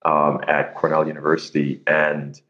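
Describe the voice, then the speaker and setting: Very deep-voiced man in an echoey room. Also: completely flat delivery, moderate pace, lo-fi sound. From Nigeria and the Bahamas. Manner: self-deprecating, laughs easily, repeats words when starting. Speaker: podcast guest, tech founder. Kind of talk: casual interview storytelling.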